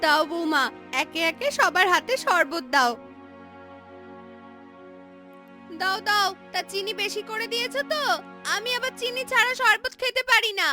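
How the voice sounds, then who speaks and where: A woman voicing a sharp-tongued, bossy village mother-in-law who fusses and scolds in a cartoon fairy tale. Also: extremely high pitch, moderate pace, soft background music. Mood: fussy, doting.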